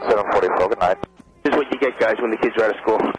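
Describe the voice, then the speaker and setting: A man's voice: on the low side, rapid-fire. A man speaking in an air traffic exchange over aviation radio. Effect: aviation radio transmission.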